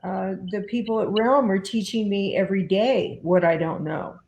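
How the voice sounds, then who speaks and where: A woman's voice: mid-range voice, fairly even intonation, normal speed, a little mellow, dull audio, a little hiss or hum. A woman giving casual, informal interview answers as a guest, with a self-deprecating way of talking. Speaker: podcast and comics producer, guest. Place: indoors at home. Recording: echoey room sound.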